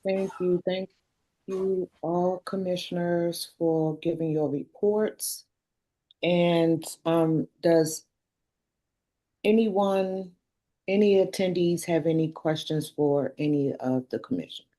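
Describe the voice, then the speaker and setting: A woman's voice: fairly low pitch, fairly even intonation, takes it slowly, warm tone. A woman acting as chair, moderating a public meeting and calling on speakers.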